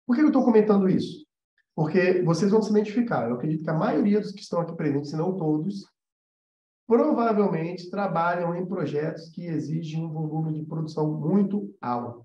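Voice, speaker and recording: A man's voice: on the high side, mellow. A man with a Brazilian Portuguese accent teaching an informal livestream lesson in a conversational, explanatory way, often checking in with his listeners. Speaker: animation teacher and mentor. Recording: roomy echo, dull muffled sound.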